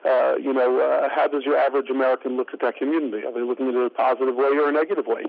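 A man giving an interview, speaking haltingly with many fillers. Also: mid-range voice, steady tone, gravelly, slight background noise. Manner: halting, full of fillers like "uh, you know". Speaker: interview guest. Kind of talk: interview over the phone. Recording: tinny phone line.

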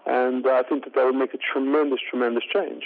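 A man giving an interview, speaking haltingly with many fillers. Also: mid-range voice, level tone, vocal fry. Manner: halting, full of fillers like "uh, you know". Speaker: interview guest. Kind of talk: interview over the phone. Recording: tinny phone line.

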